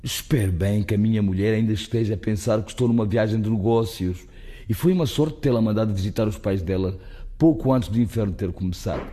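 Husky, mellow actor as a bitter fallen businessman. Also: lowish voice, a little fast, close to an everyday voice. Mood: wary, self-pitying. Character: fallen rich businessman, wary, bitter fugitive. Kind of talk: radio drama acting.

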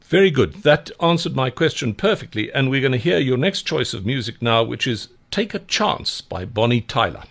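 A male radio host with a low, gravelly voice that rises and falls a great deal. He talks in a conversational, reflective way as he introduces music and looks back on the guest's answers. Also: sing-song, moderate pace, a bit bright. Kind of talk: radio interview hosting.

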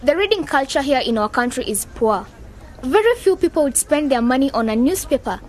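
A high-pitched, outspoken young woman. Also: expressive, a little fast, slight background noise. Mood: arguing. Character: outspoken, excitable young woman dreaming of radio music. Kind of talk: educational radio drama acting.

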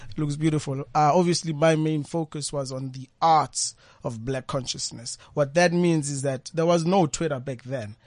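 A man's voice: a little high, steady tone, normal speed, few pauses, no room sound. South African man in a dry studio. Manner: thoughtful, repeats words and phrases. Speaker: guest poet and former journalist. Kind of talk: conversational radio interview. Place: radio studio.

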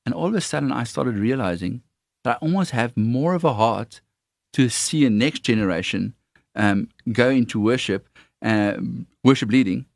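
A man with an Afrikaans accent who trains worship leaders, talking earnestly and haltingly with many fillers. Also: mid-range voice, creaky, no echo, studio quality. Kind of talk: casual conversation on faith.

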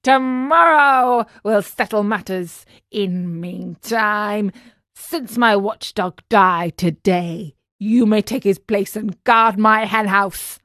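A woman voicing an angry, rough, stern male farmer in a children's storybook, speaking in a threatening manner. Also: mid-range voice, normal speed, no room sound, clean studio sound, close to an everyday voice. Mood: gruff commanding.

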